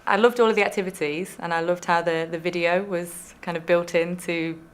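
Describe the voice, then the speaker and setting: A woman's voice: fairly low pitch, fairly even intonation, a little fast. A woman giving informal interview feedback on a session.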